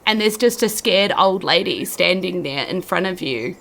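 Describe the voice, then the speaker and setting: A woman's voice: lowish voice, expressive, normal speed, vocal fry, a bit bright, poor audio. A woman, narrating a tabletop game off the cuff as game master. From New Zealand.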